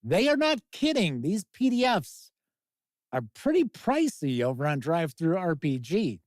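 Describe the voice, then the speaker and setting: A man's voice: a little high, big pitch swings, a little slow, darkish tone, no room sound, clean studio sound. A man with a Chicago accent hosting a casual livestream of tabletop gaming news, chatty and jokey as he talks with his chat. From Chicago, USA. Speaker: host.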